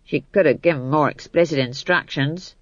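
A woman's voice: low voice, moderate pace, no room sound, highs cut off. A woman voicing a grumpy, sarcastic male dwarf in a storybook, curt, muttering and growling.

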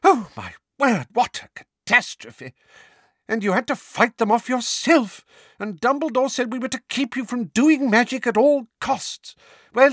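A man voicing a flustered, scolding elderly woman in an audiobook, exclaiming and fussing in a shrill, indignant way. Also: a bit bright, no echo, clean studio sound, exaggerated voice. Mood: flustered, impatient. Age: older.

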